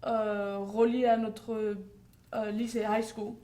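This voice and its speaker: Young woman, bright voice. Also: fairly high pitch, flat delivery, slow. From France. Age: young adult. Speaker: guest, softball player. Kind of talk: casual interview answers.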